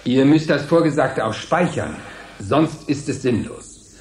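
An actor playing a matter-of-fact technician who explains things with mild exasperation in a science fiction radio play. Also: male voice, medium pitch, lively intonation, a little slow. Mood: instructing. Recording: echoey, busy background.

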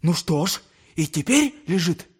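An actor playing a feverish young intellectual, tormented, mocking and agitated, in an audio drama. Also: male voice, fairly high pitch, very animated, unhurried, slightly rough, heavily acted. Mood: derisive, amused. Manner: agitated, exclamatory, derisive.

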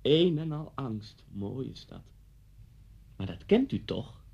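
A man's voice: medium pitch, sing-song, takes it slowly, gravelly, dark tone, slightly rough, dull audio, exaggerated voice. An actor playing a vengeful, deranged middle-aged man in a radio play, mocking and theatrical as he threatens someone. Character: vengeful, deranged man taunting his old schoolteacher at gunpoint.

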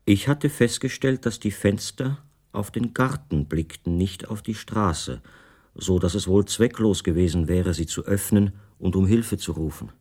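An actor playing a captive writer who recounts his confinement in measured, precise, detached literary narration for a radio play. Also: lowish voice, darkish tone, husky. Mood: calm, observant.

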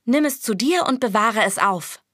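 A woman narrating an interactive radio play, giving clear, instructive directions. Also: fairly high pitch, expressive, moderate pace, over music.

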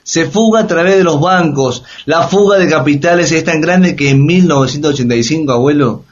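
A man's voice: a little high, brisk, muffled recording, slight background noise, natural voice. An actor in a Latin American radio play voicing an earnest, informed younger man who explains things emphatically. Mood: grave, explaining.

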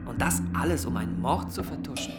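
An actor playing an earnest, determined son who investigates in a sober, probing way, in a radio drama. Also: male voice, medium pitch, lively intonation, brisk, close to an everyday voice. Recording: loud music behind.

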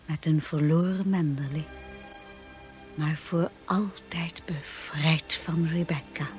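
Slow, solemn young wife. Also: female voice, sits low, fairly even intonation, dull audio, music in the background. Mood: wistful, solemn. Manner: slow, measured, solemn delivery. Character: Mrs de Winter, devoted young wife looking back wistfully. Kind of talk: radio drama acting and narration.

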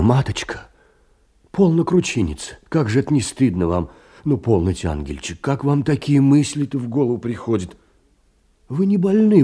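An actor playing a humble, doting clerk who pleads and fusses tenderly in a theatrical audio drama. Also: male voice, medium pitch, mellow, breathy edge. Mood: fussy, reassuring. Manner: fussy, pleading, tender endearments and repetitions.

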